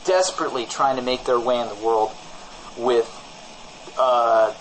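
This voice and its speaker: A man's voice: medium pitch, measured pace, slight background noise, natural voice, low-quality recording. A man playing a nervous, shady small-town radio host who stammers and repeats himself defensively in comic banter. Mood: flustered. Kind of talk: improvised-sounding comedy radio banter.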